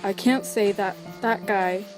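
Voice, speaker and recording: Casting: woman as easygoing skeleton monster, wry and pensive, medium pitch, comic dub. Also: steady tone, measured pace, over music, natural voice. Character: laid-back wry skeleton monster, pensive and world-weary. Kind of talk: animated comic dub acting.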